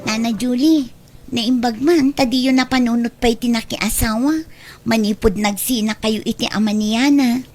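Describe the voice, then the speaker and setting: An actress with an Ilocano accent playing a pampered, scheming young daughter who talks in a chummy, stubborn way in a radio drama. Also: female voice, a little high, moderate pace, a little mellow, faint music behind, close to an everyday voice. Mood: polite, probing. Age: young adult.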